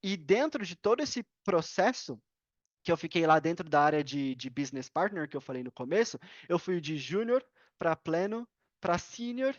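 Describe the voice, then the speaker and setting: A man's voice: on the high side, moderate pace, dry recording. Brazilian male podcast guest, casual slangy storyteller, dry and slightly dull online-call sound. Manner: informal, slangy, animated retelling with acted-out dialogue. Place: indoors at a computer on a video call.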